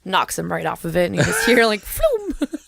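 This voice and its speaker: Creaky-voiced man tossing out crude jokes. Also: high-pitched, wildly swooping pitch, normal speed, a bit bright, no room sound. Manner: crude, jokey, loosely phrased. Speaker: podcast co-host. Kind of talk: casual joking brainstorming.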